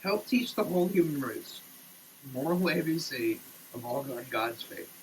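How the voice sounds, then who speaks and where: A man giving a theatrical, declamatory dramatic reading, swinging between hushed delivery and shouting, as a comic performance. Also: a little high, animated, normal speed, slight background noise, rough recording. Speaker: sketch comedy performer. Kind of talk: dramatic reading of a product label. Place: shower.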